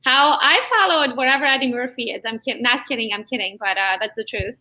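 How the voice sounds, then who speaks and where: Bright-voiced woman, Hungarian speaker from Transylvania. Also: on the high side, brisk. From Transylvania, Romania. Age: around 40. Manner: lively, storytelling, laughs often. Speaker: real estate agent guest. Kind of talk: interview guest chat.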